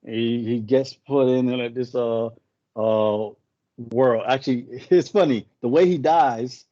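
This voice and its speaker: A man with a mellow, warm voice retells a story in a loose, rambling way, stopping often to correct himself. English is not his first language. The sound is dry and a little muffled. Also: mid-range voice, slow pace, no echo. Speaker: co-host. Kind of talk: casual podcast chat.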